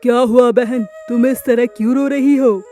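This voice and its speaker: A woman plays a kindly male pigeon from a children's cartoon. The voice is dark and mellow, brotherly and reassuring. Music runs underneath. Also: fairly high pitch, steady tone, normal speed, natural voice. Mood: concerned.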